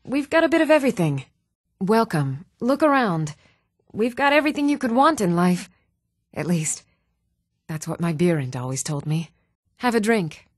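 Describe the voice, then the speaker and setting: An actress playing an adult townswoman in a fantasy role-playing game, speaking in an even, measured, clearly enunciated way. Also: on the low side, dry recording, clean studio sound. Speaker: video game voice actor. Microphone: close, dry studio microphone.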